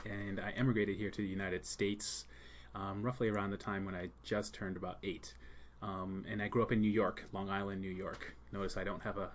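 A man born in Jamaica with a fairly low voice and no strong accent, talking in a relaxed podcast interview. He speaks softly and thoughtfully, filling pauses with ums as he searches for words. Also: slight background noise. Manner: soft-spoken, many ums, thoughtful pauses. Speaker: school leader and art teacher.

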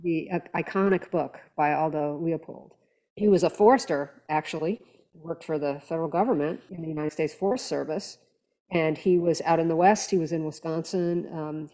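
Woman, deep for a female voice, soft dark timbre, thoughtful hesitant phrasing, conversational podcast panelist. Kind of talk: conversational discussion.

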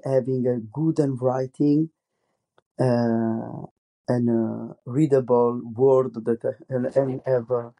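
Italian-accented guy doing a solo podcast, mellow voice, halting english w lots of ums. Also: mid-range voice. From Italy. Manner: halting, many fillers and restarts in non-native English. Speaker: podcast host, comics artist.

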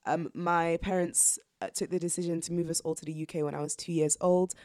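Low-voiced young woman, thoughtful guest. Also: little pitch movement, a little fast, a little mellow. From born in Zambia, raised in England. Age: young adult. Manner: thoughtful, earnest, says "like" often. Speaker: podcast guest. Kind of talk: casual reflective interview.

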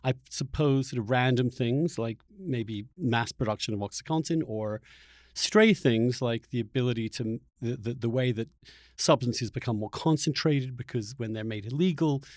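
A man hosting a conversational interview, thinking aloud in a discursive, halting way as he works toward his questions. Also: medium pitch, darkish tone, dry recording, studio quality. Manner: musing, discursive, builds long questions haltingly. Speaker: podcast interviewer, host.

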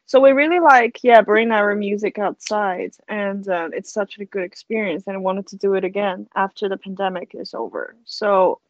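A woman whose first language is Chinese, speaking English as a singer in a casual interview, hesitant and soft-spoken. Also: medium pitch, moderate pace, few pauses, no echo, highs cut off.

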